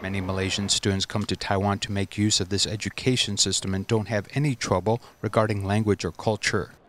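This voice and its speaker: A man reading a scripted news voice-over in a measured, even way. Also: lowish voice, a little hiss or hum. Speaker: news narrator.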